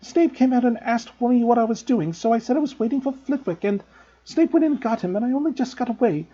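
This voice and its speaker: A man voicing an earnest, anxious know-it-all schoolgirl who talks in a high, fretful way. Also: fairly quick, warm tone, dull audio. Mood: distraught.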